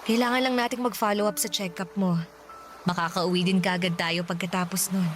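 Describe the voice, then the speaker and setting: A woman's voice: lowish voice, steady tone, a little fast, faint music behind, close to an everyday voice. An actress playing a caring, reassuring mother in a radio drama, speaking in a tender, motherly way. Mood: tender, soothing. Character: caring, reassuring widowed mother of two young boys. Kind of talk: Tagalog radio drama acting.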